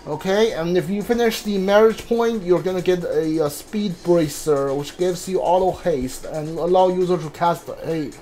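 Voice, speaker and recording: A man casually commentating on his video game playthrough, haltingly thinking aloud and mumbling as he reads. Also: sits high, a little mellow.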